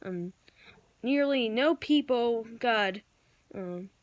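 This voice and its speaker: A woman reading out homemade pretend news bulletins and emergency alerts in a halting, improvised way, with searching pauses. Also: a little high, expressive, no room sound. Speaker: amateur storyteller acting out a mock emergency broadcast.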